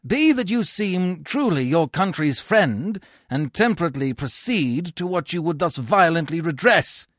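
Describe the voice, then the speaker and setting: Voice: medium pitch, lively intonation, measured pace, hardly any pauses, no room sound, studio quality, pitched above the performer's own voice. A reader voicing an agitated Roman patrician peacemaker who implores, in a theatrical Shakespeare read-aloud. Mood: pleading.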